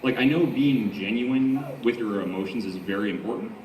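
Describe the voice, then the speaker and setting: A man's voice: lowish voice, normal speed, a crowd in the background. A man asking a question from the audience at a panel as an aspiring voice actor, a little hesitant. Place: convention panel room. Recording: echoey room, distant mic, faint noise.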